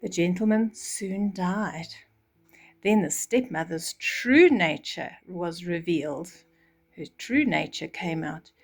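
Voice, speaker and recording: A woman's voice: lowish voice, animated, slow, soft background music. Older woman reading a bedtime story. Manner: explains words simply to young children.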